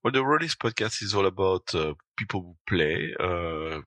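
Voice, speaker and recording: Man, very low but bright voice, halting casual chat. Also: lively intonation, no echo. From Belgium. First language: French. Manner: hesitant, many fillers. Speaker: podcast guest. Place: at home in London at night.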